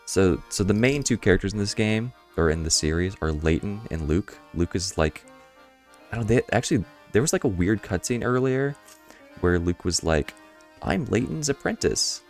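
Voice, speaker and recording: A man streaming a puzzle game, his voice a bit hoarse, rambling through casual commentary with chuckling asides. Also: sits low, lively intonation, normal speed, darkish tone, faint music behind.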